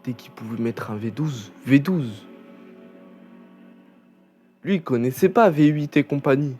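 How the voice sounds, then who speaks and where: Slow, rambling young man chatting. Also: mid-range voice, lively intonation, mellow, soft background music. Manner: rambling, chatty with many fillers and restarts. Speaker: gaming channel host. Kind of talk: casual gameplay commentary.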